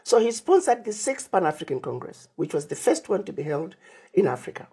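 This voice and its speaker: A woman's voice: lowish voice, sing-song, a little fast, mellow, breathy edge. A woman from Zimbabwe, an interview guest who speaks emphatically, laying out plans as lists and retelling conversations. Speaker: interview guest, Pan-African advocate.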